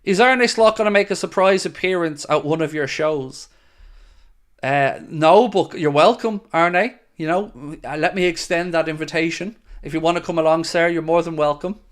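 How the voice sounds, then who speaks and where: A man's voice: fairly high pitch, normal speed. A young man hosting a live fan stream, reading out viewer questions and answering in a chatty, upbeat way. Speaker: host of a football fan channel.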